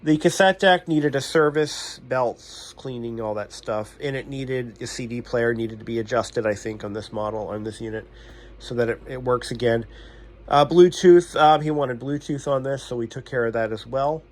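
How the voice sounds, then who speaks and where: Guy doing a casual repair vlog, explains stuff bluntly, kinda self-deprecating, medium pitched voice. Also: moderate pace. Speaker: radio repair technician and channel host.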